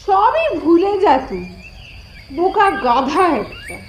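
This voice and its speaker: A woman's voice: wildly swooping pitch, takes it slowly, heavily acted. A woman voicing a bossy, scheming young village elder sister in a cartoon, complaining and scolding in an exaggerated whiny manner. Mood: angry scolding. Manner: shrill complaining, wailing and scolding.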